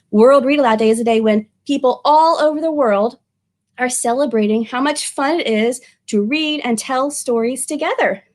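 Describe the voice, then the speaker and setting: A woman speaking as a children's librarian at storytime, expressive and addressing young listeners directly. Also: sits high. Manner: animated, expressive, with exclamations and questions to young listeners. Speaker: children's librarian reading aloud. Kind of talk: storytime picture-book reading and friendly address to children.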